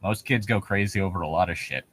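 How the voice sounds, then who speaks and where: A man's voice: deep voice, fast talker, fairly bright tone, no echo. A man co-hosting a comedy show, bantering casually and mockingly reading internet stories aloud in a sarcastic, sweary way.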